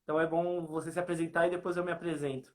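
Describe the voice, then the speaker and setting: A Brazilian man with a fairly high voice speaks as a guest on a live online chat. His way of talking is informal and colloquial. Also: little pitch movement, racing, a crowd in the background. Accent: Brazilian Portuguese. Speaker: guest, comics reviewer and page creator. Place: online video live, remote call.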